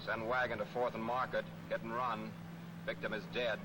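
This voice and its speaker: Brisk, businesslike policeman played by a man. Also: fairly low pitch, flat delivery, natural voice, rough recording. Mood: official, urgent. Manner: clipped, official. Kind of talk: 1940s movie serial acting. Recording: old film soundtrack with music.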